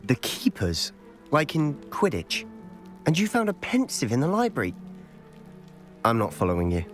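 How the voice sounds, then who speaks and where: An intense British schoolboy wizard in a cinematic game scene. His delivery is animated and earnest, with a wry edge. Soft music sits behind him. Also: mid-range voice, normal speed. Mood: puzzled, wry. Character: earnest, stubborn teenage schoolboy wizard, protective brother. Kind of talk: video game cutscene acting.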